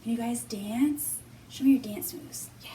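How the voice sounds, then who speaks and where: A woman's voice: a little high, unhurried, dark tone. A woman hosting a storytime for young children, talking in an encouraging, simple way as she reads aloud and leads activities. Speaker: children's library storytime host. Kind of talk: read-aloud and sing-along for young children. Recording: distant mic with faint background noise.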